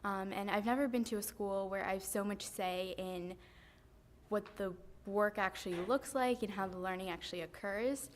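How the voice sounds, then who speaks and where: Teenage girl, student speaker, earnest, steady, filler ums, podium mic, faint ambience. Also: medium pitch, normal speed, a little hiss or hum. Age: teens. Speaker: high school student speaker. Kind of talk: prepared public remarks. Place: school board meeting room, indoors. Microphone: podium microphone.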